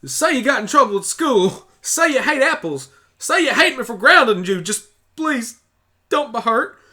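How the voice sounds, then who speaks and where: A man's voice: extremely high pitch, big pitch swings, normal speed, bright, forceful tone, voice pushed up, full character voice. A man voicing a stubborn, protective country mare pony with a folksy Southern US drawl, in an amateur audio drama. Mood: frantic, pleading. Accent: Southern US country drawl, put on. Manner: folksy, blunt, pleading.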